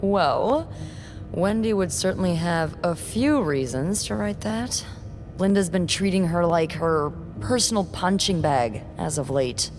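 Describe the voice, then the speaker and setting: A woman's voice: fairly low pitch, animated, a little slow, darkish tone, a little hiss or hum. A woman playing a teasing, gossipy young hotel receptionist in a video game, with a sardonic, playful, breezy manner. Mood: wry. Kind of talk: naturalistic video game voice acting.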